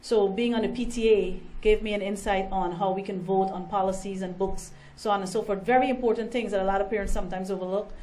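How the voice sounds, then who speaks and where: A woman, a political candidate and guest, answering interview questions in an earnest, measured way. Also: mid-range voice, steady tone, darkish tone, low-quality recording. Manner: earnest, measured, a few ums.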